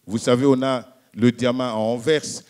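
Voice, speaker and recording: Man, panel speaker, medium pitch, public discussion, halting, repetitive phrasing. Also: steady tone, darkish tone.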